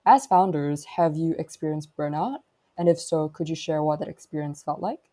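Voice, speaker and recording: A woman's voice: deep voice, moderate pace, mellow. A woman hosting a podcast, reading prepared questions and definitions. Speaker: podcast host. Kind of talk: scripted interview questions.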